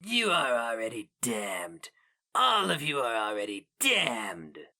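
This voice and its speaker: A man voicing an inhuman alien creature from a dark fantasy story, with a guttural rasp and a slow, menacing, coldly seductive delivery. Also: mid-range voice, huge pitch swings, heavily acted. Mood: cold, ominous. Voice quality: raspy, guttural. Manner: slow, deliberate.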